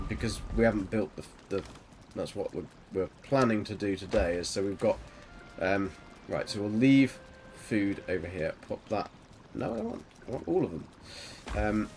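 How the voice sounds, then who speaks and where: Soft-voiced man streaming a game. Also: lowish voice. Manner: mumbles to himself while sorting items, chatting to viewers. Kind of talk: relaxed live gameplay commentary.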